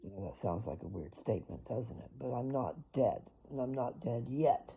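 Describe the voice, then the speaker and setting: A woman's voice: rumbling low, lively intonation, moderate pace, gravelly, warm tone, breathy edge. An older woman chatting casually in a personal vlog, rambling and talking straight to her viewers. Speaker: vlogger.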